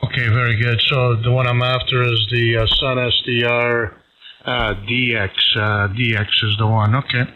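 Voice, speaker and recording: A man chatting casually over amateur radio, halting and hesitant as he goes. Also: mid-range voice, little pitch movement. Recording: dull band-limited radio transmission.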